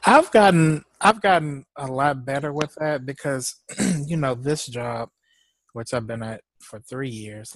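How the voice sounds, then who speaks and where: A man's voice: medium pitch, a bit bright, dry recording. A man chatting casually as a co-host, rambling and laughing often, sounding congested, speaking. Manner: slow, drawn-out talk. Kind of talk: casual chat.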